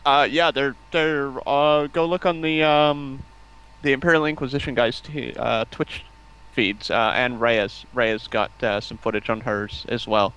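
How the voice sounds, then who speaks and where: A man's voice: mid-range voice, fairly even intonation, measured pace, fairly bright tone, clean tone, poor audio. A man chatting casually as a stream guest, rambling enthusiastically over a voice call. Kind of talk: casual chat.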